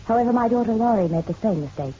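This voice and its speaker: A woman's voice: on the high side, lively intonation, rapid-fire, mellow, natural voice. An actress playing a genteel, proud middle-aged mother in a radio drama, poised and arch with a bitter edge. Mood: cynical. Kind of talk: noir radio drama acting. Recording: dull, slightly noisy radio-drama recording.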